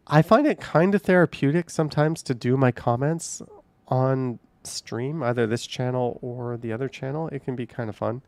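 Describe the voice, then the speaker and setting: Guy rambling on his home livestream, kinda gravelly, dry close sound. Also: mid-range voice, moderate pace, no room sound. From Canada. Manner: rambling, chatty, laughs easily. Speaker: livestream host reading chat. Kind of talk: casual livestream chat.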